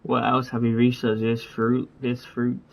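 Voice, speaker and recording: A man's voice: medium pitch, completely flat delivery, a little slow, vocal fry, a little mellow. A man giving casual commentary as he plays a video game. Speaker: gamer. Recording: dull, noisy mic with clicks.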